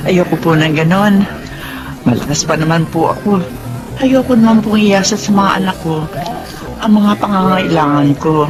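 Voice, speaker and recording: A woman's voice: fairly low pitch, natural voice. A woman with a Filipino accent playing an aging, hardworking widowed mother in a radio drama, earnest and polite. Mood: humble, earnest. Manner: earnest, deferential.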